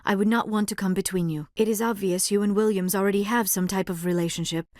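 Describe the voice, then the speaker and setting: Casting: actress for a female alien in a sci-fi game, gentle and hesitant, mid-range voice, precise formal phrasing, earnest temper, dry studio sound. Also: medium pitch, steady tone, quick pace, dry recording, lightly acted. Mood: awkward, apologetic. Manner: careful, formal diction with few contractions; hesitant, soft-spoken, earnest. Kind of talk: video game dialogue acting.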